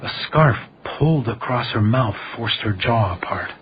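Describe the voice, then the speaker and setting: An actor playing a wry, quietly gallant house detective who narrates a noir radio drama in a dry, understated way. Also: male voice, mid-range voice, expressive, normal speed, a little hiss or hum, natural voice. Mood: somber narration. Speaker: lead character and narrator. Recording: dull lo-fi audio, music behind.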